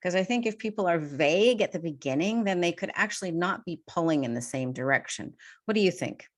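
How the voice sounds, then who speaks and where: A woman's voice: lowish voice, lively intonation, fairly quick. A woman interviewer in a conversational discussion, thoughtfully probing and summing up what she is told. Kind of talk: conversational interview, recapping and questioning.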